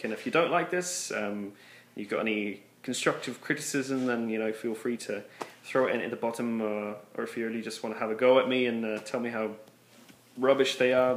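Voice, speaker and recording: A man's voice: medium pitch, a bit bright, a little hiss or hum. Hesitant man putting on imitated accents. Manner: talks through put-on imitated accents, hesitant with many fillers. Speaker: vlogger showing off accent imitations. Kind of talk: casual chat to camera. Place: room at his workplace. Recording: roomy echo.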